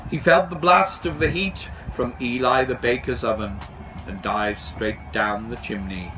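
A middle-aged man reading a children's storybook aloud outdoors, in an animated, expressive way. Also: mid-range voice, unhurried, background noise. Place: outdoors on a garden bench.

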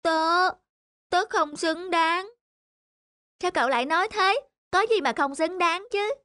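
Very high-pitched, earnest little schoolboy voiced by a woman. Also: a little slow, sharp tone, no room sound, clean studio sound, exaggerated voice. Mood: remorseful. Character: earnest young schoolboys in a moral cartoon. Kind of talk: animated children's story dialogue. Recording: faint music behind the voice.

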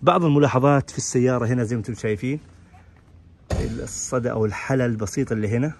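A man's voice: medium pitch, very fast, a little mellow, slight background noise. A man, giving an informal walk-around commentary as a car auction presenter. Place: large indoor car showroom and workshop.

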